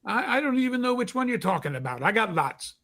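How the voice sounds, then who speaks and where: Evasive, nervy male shopkeeper played by an actor for radio drama; curt, streetwise, very lively intonation. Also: high-pitched, fast talker, full character voice. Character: evasive, nervous, defensive male secondhand clothes dealer. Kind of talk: vintage radio drama acting.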